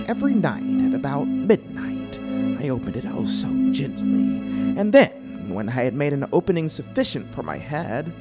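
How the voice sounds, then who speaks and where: Horror audiobook lead: cunning, unhinged murderer, low-pitched, theatrical, slow and halting, dropping into whispers, synth score behind. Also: female voice, heavily acted. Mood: manic boasting. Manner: theatrical, halting, lapsing into whispers and giddy bursts. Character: deranged killer insisting on sanity, gleefully cunning. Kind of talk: dramatic gothic horror audiobook reading. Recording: synthesizer music under the voice. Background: horror synth soundtrack.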